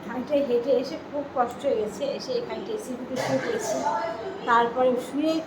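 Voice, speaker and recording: A woman's voice: high-pitched, fairly even intonation, fairly quick. Chatty woman vlogging in an echoey space. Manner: chatty, addresses viewers directly, repeats herself. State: out of breath from walking. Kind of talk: casual chatty vlog to viewers. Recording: echoey with loud background noise.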